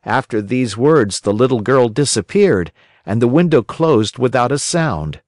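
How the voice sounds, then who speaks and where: A man narrating a children's story as an audiobook reader, in a gentle, expressive way. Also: mid-range voice, unhurried, no room sound, studio quality.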